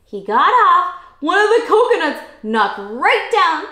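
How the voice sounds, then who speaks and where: A young adult woman reading a picture book aloud to young children in an animated, exclamatory, questioning way. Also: very high-pitched, unhurried. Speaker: children's read-aloud host. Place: small cozy indoor room. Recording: roomy indoor echo.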